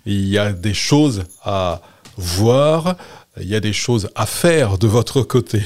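A man hosting a radio interview, asking questions in a measured, courteous way. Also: lowish voice, animated, a little mellow. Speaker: radio host.

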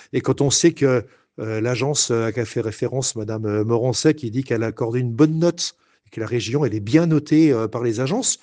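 Argumentative man with a mellow voice. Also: mid-range voice. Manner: argumentative, rhetorical questions. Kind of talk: political debate argument.

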